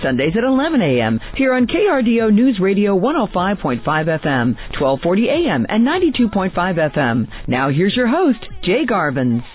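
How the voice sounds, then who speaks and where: Lively woman announcing a radio show. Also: mid-range voice, normal speed, few pauses. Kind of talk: scripted radio intro. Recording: tinny phone-like sound with music bed.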